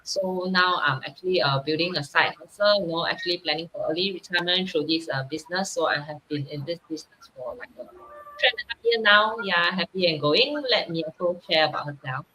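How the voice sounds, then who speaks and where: A woman's voice: on the low side, brisk, bright, forceful tone, slight background noise, poor audio. A woman introducing herself casually, with fillers like "you know.". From Singapore. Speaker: guest.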